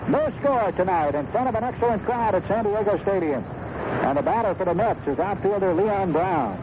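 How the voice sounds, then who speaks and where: High-voiced man calling baseball on old radio. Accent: American. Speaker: baseball radio play-by-play announcer. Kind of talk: live sports commentary. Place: stadium broadcast booth. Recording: muffled, hissy vintage radio broadcast.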